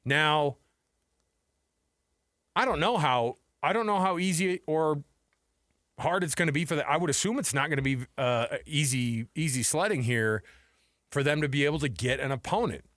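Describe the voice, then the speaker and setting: A man's voice: a little high, expressive, normal speed, long pauses, fairly bright tone, no room sound, studio quality. A man hosting a sports talk radio show in an informal, conversational way, talking like he is chatting with a buddy. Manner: informal, conversational, addresses listeners and co-host as man and dude. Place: radio studio.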